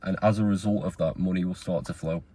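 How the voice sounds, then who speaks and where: A young man in his twenties with a very deep, low voice. He talks to camera in an earnest, rambling way, with fillers and restarts. The tone is a motivational monologue. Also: fairly even intonation, fast, dark tone. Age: 20s. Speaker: vlogger. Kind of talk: motivational talking-head monologue.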